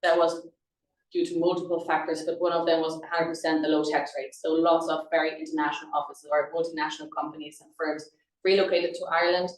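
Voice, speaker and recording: A woman's voice: sits low, little pitch movement, fast. A woman from Germany with a German accent, presenting conversationally in a webinar as a recruitment consultant, in an informal, chatty way. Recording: roomy echo, distant microphone.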